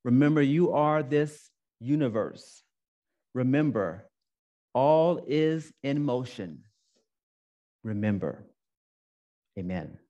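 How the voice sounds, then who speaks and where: Slow-speaking male minister preaching. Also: mid-range voice, lively intonation, warm tone, muffled recording. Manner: storytelling, repeats phrases for emphasis. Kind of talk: sermon. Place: church sanctuary.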